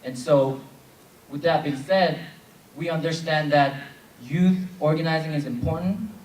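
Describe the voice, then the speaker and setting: Young man, from south korea, convention moderator, leads chants, higher voice, echoey hall, heard from a distance. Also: unhurried, a little mellow. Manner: leads call-and-response chants. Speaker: plenary moderator. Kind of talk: convention speech. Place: large convention hall with audience. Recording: echoey hall, distant mic, crowd noise.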